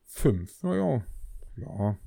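A man's voice: fairly low pitch, sing-song, very slow, gravelly, mellow, slightly rough, dry recording. A man co-hosting a casual podcast chat, joking around with lots of fillers and restarts. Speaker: podcast co-host.

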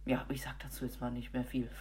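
A woman's voice: extremely low pitch, flat delivery, a little fast, noisy background. A woman with a cold, co-hosting a podcast and chatting casually about football with many fillers and restarts. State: has a cold. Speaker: podcast co-host.

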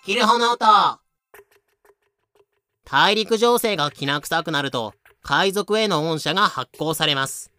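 A man's voice: a little high, animated, unhurried, bright, forceful tone, clean tone, dry recording, faint music behind. A man delivering scripted dramatic narration as the storyteller.

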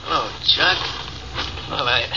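A worn-out ex-convict freshly out of prison, halting and weary in every word. He sounds like an old radio play, with a muffled, dull tone and soft hiss over it. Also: mid-range voice, creaky, full character voice. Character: worn-out ex-convict man, just out after years inside. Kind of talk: 1940s radio acting.

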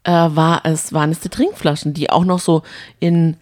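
A woman's voice: deep voice, level tone, normal speed, a little mellow. A woman co-hosting a reality TV recap show, chatting casually and retelling episodes.

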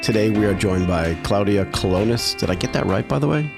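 A man's voice: lowish voice, animated, brisk, gravelly, over music. A man hosting a podcast, chatty and enthusiastic as he interviews a guest. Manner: enthusiastic, chatty, laughs easily. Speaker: podcast host. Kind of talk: casual interview chat.

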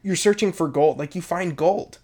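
A man's voice: fairly high pitch, normal speed, a little mellow. A man co-hosting a casual podcast, chatting eagerly and repeating words for emphasis. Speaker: podcast co-host. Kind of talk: casual chat.

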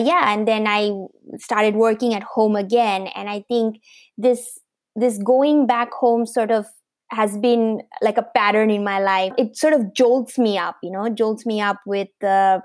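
A woman in her thirties, from Bangladesh, answers an interviewer's questions about her work. She talks in a hesitant way, full of fillers and restarts. Her voice is of middle pitch and sounds dry and close. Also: dry recording. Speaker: guest, fashion startup founder.

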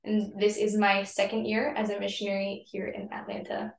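A woman's voice: mid-range voice, completely flat delivery, moderate pace, creaky. A young woman gives a friendly, straightforward account of her work. She is recorded in an echoey room. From Ohio, USA. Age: young adult. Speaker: lay missionary. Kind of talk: casual chat.

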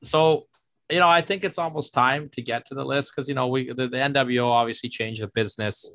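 A man's voice: mid-range voice, fairly even intonation, fairly quick, fairly bright tone, dry recording. A man hosting a casual podcast in an upbeat, jokey way, steering the talk and introducing clips. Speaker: podcast host.

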